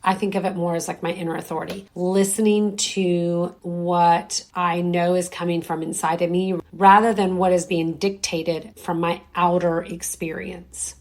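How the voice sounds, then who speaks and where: Middle-aged woman host, hoarse and strained, lowish voice, chatty and reflective. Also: little pitch movement, moderate pace, few pauses. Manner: reflective, conversational, many fillers. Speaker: podcast host. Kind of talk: solo podcast talk.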